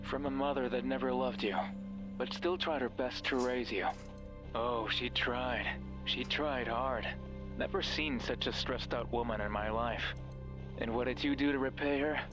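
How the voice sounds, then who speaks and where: A man's voice: mid-range voice, fairly even intonation, measured pace, natural voice, low-quality recording. Man acting as a cruel, mocking guide over music. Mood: scornful. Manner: taunting, condescending, sardonic. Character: mocking, cruel, controlling mysterious male voice on a radio. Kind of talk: horror game voice acting.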